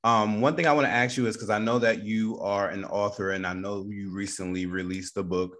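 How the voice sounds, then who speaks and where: A man's voice: fairly low pitch, steady tone, a little fast, fairly bright tone. A man with an American accent hosting a radio interview in a casual, upbeat style.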